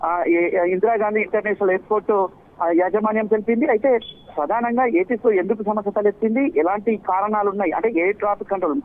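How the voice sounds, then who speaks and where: Over a thin, phone-like link, a male correspondent reports live. His voice is notably high for a man. He speaks haltingly, repeating himself and hesitating as he improvises. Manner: halting, repetitive, hesitant delivery. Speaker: news correspondent. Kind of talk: unscripted live news report. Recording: muffled, band-limited live link.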